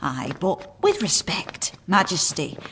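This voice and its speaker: A woman's voice: fairly low pitch, huge pitch swings, slow pace, a bit bright, a little hiss or hum, full character voice. An actress playing a blunt, scornful older weaver woman in an audio comedy, folksy and wry. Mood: scornful, brisk. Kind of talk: audio comedy drama acting.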